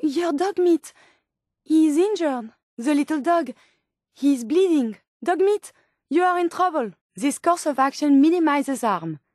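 A woman's voice: high-pitched, animated, measured pace, a little mellow, no room sound, studio quality. An actress voicing a polite, earnest, curious female medical robot companion in a video game, with formal, precise, quaint phrasing. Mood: alarmed, urgent, then gentle, pleased.

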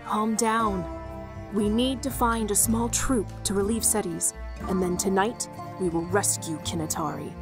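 Village leader, audio drama female actor: mid-pitched, decisive, composed, clear music underneath. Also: unhurried, close to an everyday voice. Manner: composed, firm.

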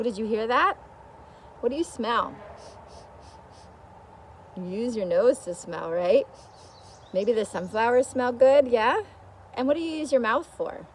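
A woman's voice: on the high side, very animated, measured pace, long pauses, slight background noise. A middle-aged woman teaching young children with simple talk and singsong storytelling, recorded outdoors. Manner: asks the young viewers frequent simple questions. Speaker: children's teacher reading and singing a picture book. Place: outdoors in a leafy garden. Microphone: camera or phone a short distance away.

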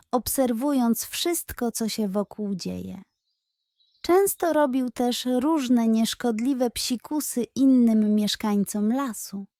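A woman's voice: a little high, warm tone, dry recording, studio quality. A woman narrating a scripted bedtime story for children as a storyteller.

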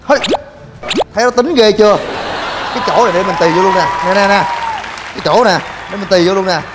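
Young guy joking around on a gym vlog, pretty high voice, big echoey hall, music under it. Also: crowd noise. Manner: joking, rapid banter with loud coaching shouts. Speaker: fitness vlogger. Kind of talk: energetic gym demo vlog. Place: large indoor gym. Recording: long gym reverb with music.

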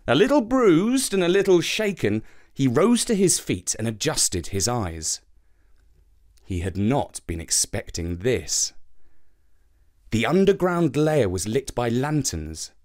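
A man reading a children's folktale as an expressive storybook narrator. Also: mid-range voice, takes it slowly.

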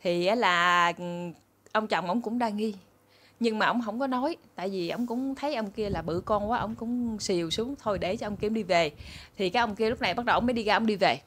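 A woman's voice: mid-range voice, a little fast, a bit bright, husky. Chatty southern Vietnamese woman storyteller. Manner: chatty, conversational, addressing viewers as family. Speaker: channel host and storyteller. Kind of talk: casual storytelling to followers. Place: small room indoors.

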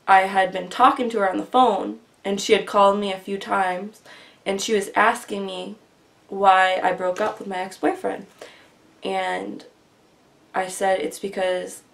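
A woman in her twenties earnestly telling a long personal story in casual, rambling vlog style, her voice often dropping quieter, recorded on a webcam in a small bedroom. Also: mid-range voice, gravelly. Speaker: vlogger. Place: small bedroom with bookshelf, indoors. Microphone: webcam at desk distance.

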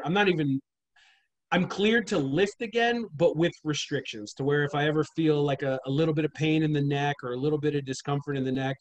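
Earnest man in his thirties on video call. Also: fairly high pitch. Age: 30s. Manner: measured, earnest, steady explaining. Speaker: wrestling trainer and promoter. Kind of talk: casual interview over video call. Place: small indoor room at home. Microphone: webcam on video call.

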